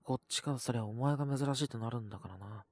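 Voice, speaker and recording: A man's voice: mid-range voice, fast talker, no echo, natural voice. A man voicing a sullen, possessive young wolf beastman with a cold, speaking in blunt, curt grumbles in an audio roleplay. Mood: uneasy, grumbling. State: sick with a cough, acted. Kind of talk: Japanese audio roleplay voice acting.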